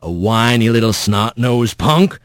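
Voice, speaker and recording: Soft, rough, deep folksy spirit creature. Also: male voice, expressive, a little slow, no room sound, studio quality. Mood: mocking, stern. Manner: rustic drawl with folksy grammar. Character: dark, huge, mocking folksy paternal spirit creature.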